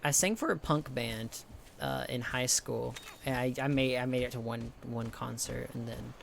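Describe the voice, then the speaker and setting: A young man from the with a higher-pitched voice, chatting casually with his stream viewers, rambling, swearing a lot and restarting often. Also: fairly even intonation, moderate pace, vocal fry, slight background noise. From American South. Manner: rambling, heavy swearing, many restarts and fillers.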